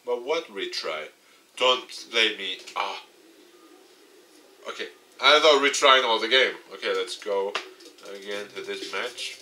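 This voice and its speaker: A man reading a visual novel aloud as a let's player, with expressive dramatic narration. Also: lowish voice, measured pace, pauses often, a little hiss or hum. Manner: expressive, acts out dialogue.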